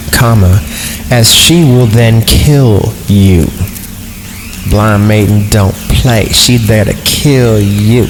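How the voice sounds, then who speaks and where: Male horror podcast reader, lowish pitch, irreverent and sweary, ad-libs jokes between passages, music behind. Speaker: podcast host reading horror stories. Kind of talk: story reading with joking ad-libbed commentary.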